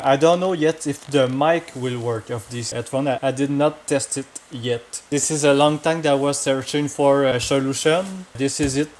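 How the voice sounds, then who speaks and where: A man with a French accent speaking halting non-native English, casually demonstrating gear as a vlogger. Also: fairly high pitch. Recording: clearly noisy amateur recording with clicks.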